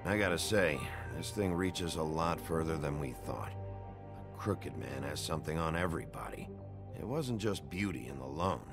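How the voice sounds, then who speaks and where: A world-weary noir game sheriff with a low American voice. He is blunt and streetwise, with lively inflection over music. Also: moderate pace, gravelly, exaggerated voice. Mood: businesslike. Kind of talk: video game character acting. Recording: music behind the voice.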